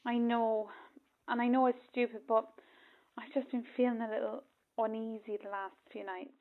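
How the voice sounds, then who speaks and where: A woman's voice: fairly high pitch, level tone, normal speed. An actress playing an uneasy, apologetic young woman with an Irish accent in a naturalistic radio drama, heard over a phone call. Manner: nervous, hesitant, apologetic. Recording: tinny narrow phone line.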